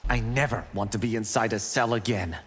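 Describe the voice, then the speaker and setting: An actor playing a proud, arrogant young fighter in a video game, self-assured and cocky, with reverb on the voice. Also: male voice, fairly low pitch, fairly quick, a bit bright, breathy edge. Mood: confident. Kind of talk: video game character dialogue. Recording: reverberant game audio, faint noise.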